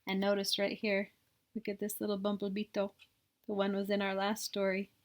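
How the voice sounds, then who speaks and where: A woman's voice: mid-range voice, completely flat delivery, normal speed. A woman storyteller reading a children's picture book expressively, with chatty asides to young listeners. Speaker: read-aloud storyteller.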